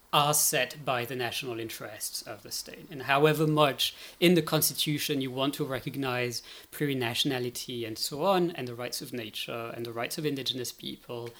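A man's voice: mid-range voice, fairly bright tone. A man speaking as an academic guest expert, explaining politics analytically and often checking in as he goes.

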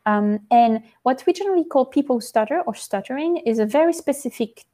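Thoughtful woman, bright voice, gentle tone. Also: high-pitched, animated, fairly quick. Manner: thoughtful, searches for words, many fillers. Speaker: researcher guest. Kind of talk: conversational podcast interview.

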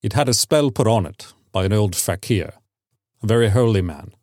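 A man voicing a middle-aged sergeant major in a story, grave and haunted, speaking in a quiet, deliberate, warning way. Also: fairly low pitch, expressive, no room sound, studio quality, lightly acted. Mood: solemn.

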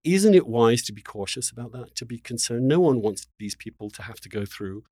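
A male interview host in the thick of a debate. His pitch moves around a great deal as he talks. He is insistent but halting, repeating words as he builds his point, and the sound is very dry. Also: lively intonation, dark tone, dry recording.

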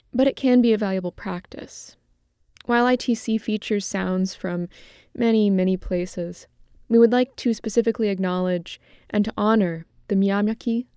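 A woman hosting a podcast, reading a scripted statement in a measured, earnest manner. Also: mid-range voice, dark tone, smooth, no room sound, clean studio sound. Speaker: podcast host.

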